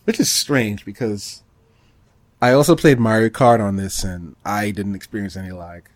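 A man co-hosting a podcast, chatting casually about gaming in a halting way, often rephrasing himself mid-thought. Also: fairly low pitch, no echo. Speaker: podcast co-host.

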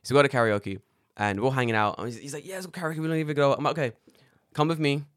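A man co-hosting a casual podcast, his voice a little raspy, telling stories with lively acted-out quotes and plenty of swearing. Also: mid-range voice, very fast, no room sound, clean studio sound. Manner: animated storyteller acting out quoted dialogue, swears freely. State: slightly raspy voice after karaoke. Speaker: podcast co-host. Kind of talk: casual chat.

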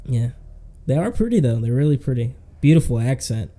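A man's voice: medium pitch, big pitch swings, brisk, mellow. A man co-hosting a podcast, chatting casually in a slangy, rambling way. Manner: slangy, rambling, says "man" and "dude" often. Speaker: podcast co-host.

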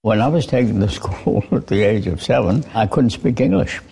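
A man's voice: on the low side, lively intonation, moderate pace, creaky, dark tone, slightly rough. A man in his nineties who grew up speaking Yiddish, being interviewed, cheerful and laughing often. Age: 90s. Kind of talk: interview conversation.

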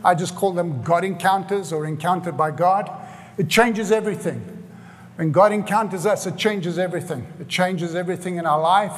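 An older man from South Africa with a South African accent, preaching a sermon in an earnest way and repeating phrases for emphasis. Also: high-pitched. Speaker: pastor. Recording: hall reverb.

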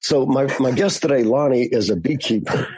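A man's voice: medium pitch, moderate pace, darkish tone, husky, dry recording, low-quality recording. A man hosting a casual podcast with a nasal, stuffy voice while he recovers from flu, talking in a friendly, joking way. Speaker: podcast host.

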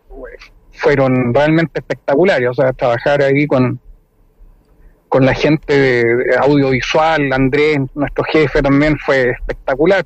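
A man's voice: on the high side, no room sound. A man speaking conversationally as a guest in a radio interview over the phone. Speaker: musician and ensemble director, interview guest. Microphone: telephone. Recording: dull phone-in line, dry.

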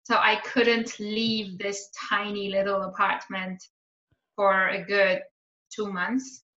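A woman's voice: medium pitch, level tone, slow pace, pushed and bright. A woman in her thirties from Iran, a podcast guest chatting casually with many hesitant ums. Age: 30s. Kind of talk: casual chat. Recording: roomy, dull lo-fi sound.

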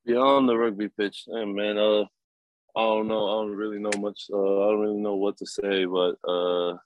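A young man in his twenties, a rugby player answering interview questions in a relaxed, halting way with many fillers and chuckles. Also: on the low side, lo-fi sound, slight background noise. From New Zealand. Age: 20s.

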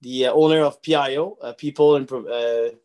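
A man who speaks natively, hosting a casual chat, halting and repeating words, full of "you know". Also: mid-range voice, steady tone, dark tone.

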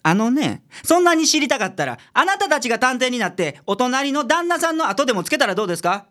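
A woman's voice: high-pitched, very animated, quick pace, fairly bright tone. Woman doing a grumpy kansai detective guy, dry and fed up, voice swings up and down. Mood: exasperated. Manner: dry, exasperated, lecturing. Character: weary middle-aged male detective, blunt and sardonic. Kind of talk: community radio drama.

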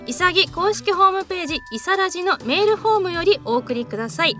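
Woman radio host, high voice, polite but jokey casual chat, faint music under her. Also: lively intonation, quick pace, sharp tone. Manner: polite, giggly, bantering. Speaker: radio host and theatre troupe member. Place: studio.

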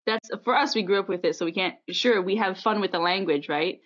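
A woman's voice: medium pitch, brisk, fairly bright tone, lo-fi sound. A woman co-hosting an interview podcast, speaking in a thoughtful, casual way with trailing sentences. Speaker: podcast co-host.

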